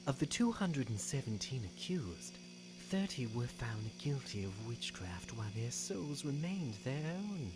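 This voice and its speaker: A woman voicing a seductive, taunting devil in an audio drama, with a theatrical, commanding and drawn-out delivery. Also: a bass voice, exaggerated voice. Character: seductive, taunting devil granting dark bargains. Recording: hum and noise, home recording.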